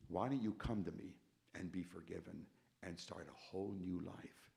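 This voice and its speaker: An older man giving an emphatic church testimony, repeating key words and swinging between quiet intensity and loud rising questions. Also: low voice, fairly even intonation, moderate pace, gravelly. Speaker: concert pianist giving testimony. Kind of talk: testimony sermon to a congregation. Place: church sanctuary.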